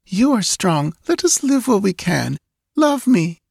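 A man voicing a languid, dreamy, confused young woman in a soft, drowsy murmur for an audiobook story. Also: high-pitched, very animated, vocal fry, breathy edge, no room sound, studio quality, pitched above the performer's own voice, full character voice. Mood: sleepy, dreamy. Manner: drowsy, languid, drawn-out yawning delivery. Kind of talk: audiobook character acting.

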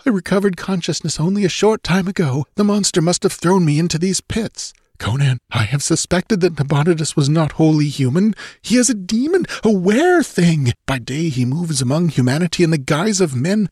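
A frightened young nobleman, acted out by a male reader, speaks in a raised, strained pitch with hushed urgency. His words are formal and full of horror, as in a fantasy audiobook, and the sound is close and dry. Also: animated, normal speed, few pauses, husky, dry recording. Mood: horrified, hushed. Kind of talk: dramatized audiobook fantasy adventure.

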